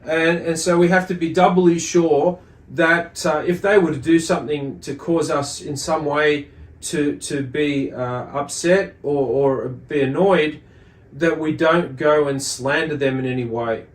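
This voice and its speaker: A man hosting a religious study lesson, explaining and reading commentary in a halting, deliberate way with pauses and repeated words. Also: a little high, fairly even intonation. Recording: echoey room sound.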